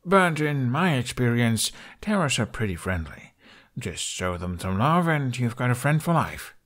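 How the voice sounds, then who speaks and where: A cynical young man, voiced by a male narrator, dry and wearily sarcastic. His pitch moves freely as he delivers wry lines in an audiobook fantasy tale. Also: sing-song, unhurried, a bit bright. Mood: easygoing. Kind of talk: audiobook character voice.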